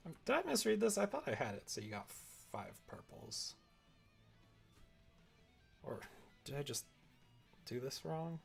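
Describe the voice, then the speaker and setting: A man's voice: fairly high pitch, wildly swooping pitch, measured pace, creaky, long pauses, slightly rough, faint music behind. A man livestreaming casually as he works, chatting and muttering to himself while he concentrates. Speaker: live streamer. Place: indoors at a computer.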